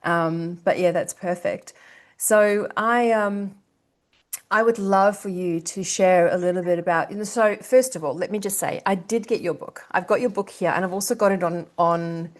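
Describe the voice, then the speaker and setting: A woman's voice: fairly low pitch, normal speed. A woman hosting a podcast, gushing and effusive, with lots of hesitant fillers. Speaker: podcast host. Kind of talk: casual podcast interview.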